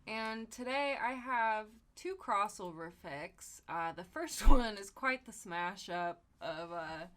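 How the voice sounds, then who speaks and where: A woman's voice: medium pitch, expressive, slow, fairly bright tone. A woman co-hosting a comedy podcast, chatting casually and reading aloud in a giggly, irreverent, sweary way. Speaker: podcast co-host. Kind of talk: casual comedic chat and fan fiction reading.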